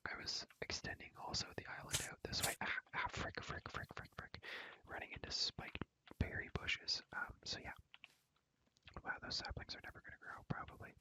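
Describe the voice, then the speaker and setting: A man's voice: sharp tone, poor audio. A young man whispering close to the microphone in a casual, rambling ASMR gaming chat, repeating words and phrases and using slang. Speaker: ASMR creator showing a Minecraft world.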